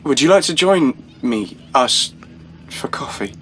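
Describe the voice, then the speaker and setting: A man's voice: mid-range voice, expressive, unhurried, a bit bright, slight background noise. An actor playing a nervous, hesitant young British man in an audio play, stumbling over his words. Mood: awkward, eager. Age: young adult. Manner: hesitant, stammering, self-interrupting. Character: awkward, nervous young man, eager and self-reproaching. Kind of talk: dramatic monologue and dialogue, audio play.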